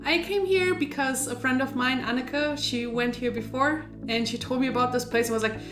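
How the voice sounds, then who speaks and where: Lively woman from Germany in an echoey room. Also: high-pitched, normal speed, over music. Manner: lively, animated storytelling. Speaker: art director. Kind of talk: casual interview answers.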